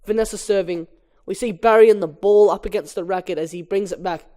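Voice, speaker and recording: A man's voice: sits high, fairly even intonation, a little fast, mellow. A young man, an amateur reader working through a film script aloud and chatting casually, with a sweary, exasperated edge.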